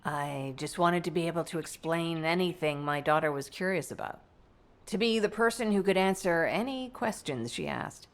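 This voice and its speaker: A woman's voice: lowish voice, natural voice. Composed, articulate woman doctor voiced by an actress. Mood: tender, wistful. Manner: measured, articulate, matter-of-fact. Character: composed scholarly female doctor and devoted mother. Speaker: medical doctor character. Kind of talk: scripted game dialogue, explanatory briefing.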